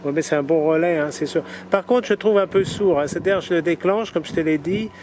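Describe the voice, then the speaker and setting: A man with a slightly high voice working as an amateur radio operator outdoors. He talks casually in radio procedure, over clearly audible outdoor and vehicle noise. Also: brisk, a little mellow. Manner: spells call signs in phonetic alphabet. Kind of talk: ham radio contact, casual demonstration. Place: outdoors, portable station. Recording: noisy outdoor recording, vehicle and urban sounds.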